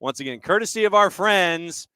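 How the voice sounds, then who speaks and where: A man's voice: high voice, animated, moderate pace, sharp tone, smooth, no room sound. A man hosting a sports radio show, talking casually as he sets up a clip.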